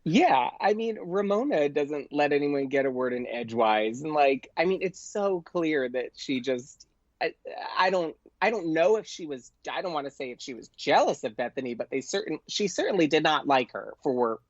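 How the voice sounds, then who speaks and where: A man's voice: fairly high pitch, expressive, normal speed, dry recording. A man chatting casually as a podcast guest, effusive and full of filler words, on lo-fi audio. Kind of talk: casual pop culture chat. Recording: dull lo-fi dry audio.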